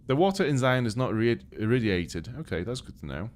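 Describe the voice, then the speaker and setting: A man's voice: medium pitch, a little fast. A man from Poland with a British accent, born Polish-speaking, chatting casually as he plays a game on a live stream and thinks aloud. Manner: relaxed, thinking aloud while playing.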